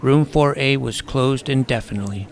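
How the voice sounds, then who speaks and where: A man reading a first-person story aloud in a wry, deadpan manner. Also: medium pitch, moderate pace, faint music behind. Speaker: audiobook narrator. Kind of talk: first-person scripted narration.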